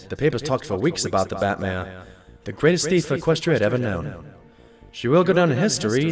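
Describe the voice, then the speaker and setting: A man's voice: mid-range voice, close to an everyday voice. Man as a storytelling pony sidekick, echoey room. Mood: amused. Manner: measured, storytelling, mildly amused. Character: loyal male pony sidekick recounting his detective friend's cases. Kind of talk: scripted narration in audio drama. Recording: roomy voice over faint music.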